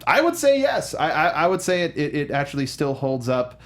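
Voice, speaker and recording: A man with a slightly high voice co-hosts a film review show. He talks casually and in an animated manner, tossing in mock outbursts as he discusses movies. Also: brisk.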